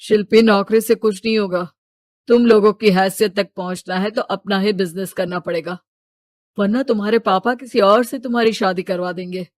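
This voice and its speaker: A woman voicing an earnest young man of modest means, respectful and devoted, in an animated story. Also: mid-range voice, normal speed, no echo, lightly acted. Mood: worried. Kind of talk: animated moral-story character acting.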